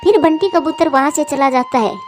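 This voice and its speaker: A woman's voice: high-pitched, normal speed, music in the background. A woman narrator reading a scripted children's cartoon story.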